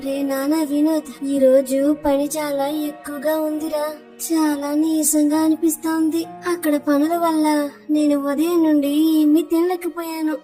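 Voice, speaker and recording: A woman's voice: high-pitched, little pitch movement, normal speed, warm tone, soft background music, close to an everyday voice. A woman playing a caring, coaxing middle-aged mother in a cartoon story. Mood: weary, caring. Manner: coaxing, affectionate.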